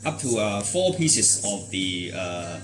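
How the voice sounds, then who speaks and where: Male product demonstrator, lowish voice, unscripted and halting, repeats himself, non-native phrasing, faint music in the background. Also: sing-song.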